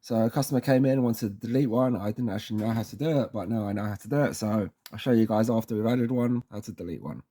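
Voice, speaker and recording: A man's voice: on the low side, fast, hardly any pauses, dark tone. A man presenting a casual how-to tutorial, informal and reassuring, often checking in with little tag words.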